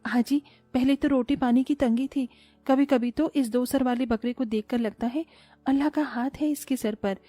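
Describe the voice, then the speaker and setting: A woman's voice: sits high, steady tone, normal speed, mellow, no echo, soft background music. A woman playing a polite poor mother.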